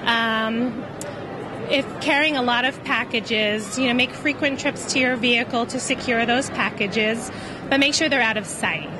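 A middle-aged woman speaking into a handheld mic in a shopping mall, laying out practical advice. Her voice sits slightly high over clear background noise. She peppers the instructions with casual fillers. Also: measured pace. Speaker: giving safety tips. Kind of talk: advice in an interview. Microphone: handheld reporter mic.

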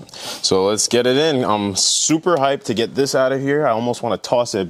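Low-voiced enthusiastic man hosting a vlog. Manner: enthusiastic, informal. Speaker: car vlog host. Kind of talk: casual hands-on tutorial vlog.

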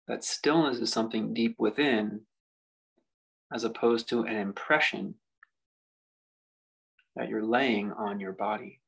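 Male meditation guide, gravelly and husky, contemplative delivery with lots of space between phrases. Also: lowish voice, fairly bright tone, poor audio. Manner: unhurried, reflective delivery with long pauses. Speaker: meditation teacher.

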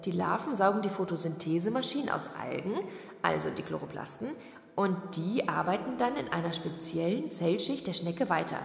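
Casting: intense young female rebel experimenter played by an actress, lowish gravelly voice, dystopian audio drama. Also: lively intonation, brisk. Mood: earnest, excited. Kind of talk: science-fiction audio drama acting. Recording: echoey hall reverb, music underneath. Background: music.

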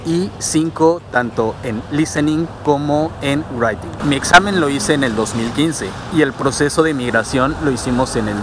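A man talks to a handheld camera held at arm's length. He walks outdoors and explains things in a steady way. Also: medium pitch, noisy background. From Mexico. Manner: steady, explanatory, talking while walking. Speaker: vlogger. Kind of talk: walking vlog explaining immigration. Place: outdoors on suburban streets and a transit station. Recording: music playing underneath. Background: music.

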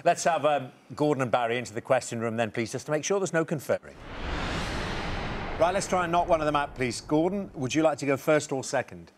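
A man's voice: a little high, animated, noisy background. A middle-aged man hosting a studio quiz show, reading questions and chatting with contestants. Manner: brisk, reads questions clearly.

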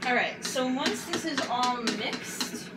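A woman's voice: sits high, takes it slowly. A woman co-hosting a home cooking show, giving practical directions with dry quips. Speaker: co-host. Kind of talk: casual cooking show banter. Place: home kitchen, indoors. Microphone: far camera. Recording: distant, echoey, loud background noise.

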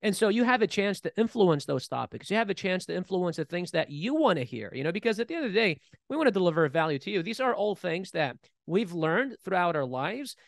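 A man in his thirties co-hosting a casual podcast, talking in an animated way with many restarts, recorded on a studio mic. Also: high-pitched, a little fast, vocal fry, few pauses, dry recording. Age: 30s. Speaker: podcast co-host. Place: bright studio room, seated in armchair. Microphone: boom-mounted studio mic.

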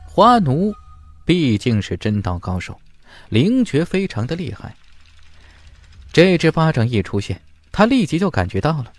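A man's voice: on the high side, very animated, a little slow, no room sound. A man narrating a fantasy audiobook from a script in a dramatic, emphatic storytelling style.

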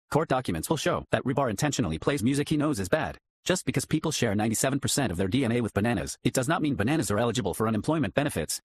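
Very fast, husky deadpan male announcer. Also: mid-range voice. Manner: deadpan, formal. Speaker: announcer reading mock disclaimers. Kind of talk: scripted deadpan narration.

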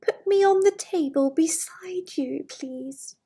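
A woman voicing a small, politely insistent male frog in a storybook, pleading in a drawn-out singsong. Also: extremely high pitch, warm tone, exaggerated voice.

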